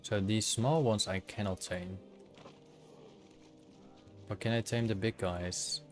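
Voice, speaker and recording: A man in his thirties with a Dutch accent chats casually to his stream viewers in a deep, low voice. He talks in halting bursts, full of fillers and restarts as he thinks aloud. Quiet game music plays behind him. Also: fairly even intonation. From Netherlands. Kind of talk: casual livestream commentary while gaming.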